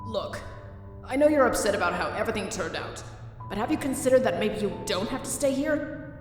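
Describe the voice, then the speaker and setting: Comic-dub hero: earnest, insistent young male skeleton female actor, grandiose, animated delivery, distant in an echoing hall. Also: medium pitch, fairly quick, lightly acted. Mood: gentle, persuading. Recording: echoey hall, distant, faint music.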